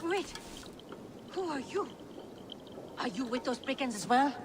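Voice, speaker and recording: A woman's voice: high voice, animated, unhurried, noisy background. A woman playing a fierce, proud merchant captive in a game cutscene, speaking bluntly and firmly. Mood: defiant. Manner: blunt, defiant, firm. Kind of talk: dramatic video game cutscene acting. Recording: reverberant space with music behind.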